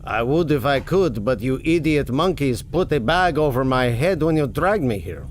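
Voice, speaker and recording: A man's voice: mid-range voice, moderate pace, slight background noise, lightly acted. A man playing a jaded, defiant prisoner in an audio drama, with a dismissive, sardonic delivery. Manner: deadpan, dismissive.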